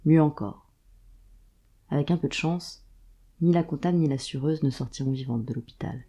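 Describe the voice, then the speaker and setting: A woman narrating a scripted audio drama in a deliberate, wry storytelling manner. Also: low-pitched, mellow, husky.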